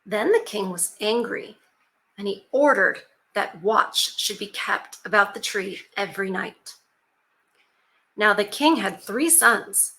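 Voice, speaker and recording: A woman reads a fairy tale aloud for her channel, with a bright, sharp tone. She talks in a chatty way, dropping in casual asides and fillers between steady passages of narration. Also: medium pitch, poor audio. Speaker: YouTube storyteller.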